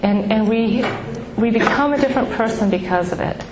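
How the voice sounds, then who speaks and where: A middle-aged woman with a small, soft voice sharing her own story of grief with an audience, halting and heartfelt with many pauses. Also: on the high side, a crowd in the background. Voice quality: soft, small voice she calls baby-like. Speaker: speaker at a grief support gathering. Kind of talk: personal testimony to an audience. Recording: echoey room with background noise.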